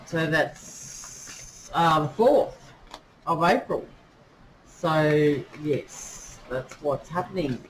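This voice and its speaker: A woman giving a casual tarot reading, chatty and full of fillers, pausing to ask her spirits and guides as she draws cards. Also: sits low, expressive, poor audio. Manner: chatty, many fillers, talks to spirits while drawing cards. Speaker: tarot reader. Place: indoors with rain outside. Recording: faint rain noise behind.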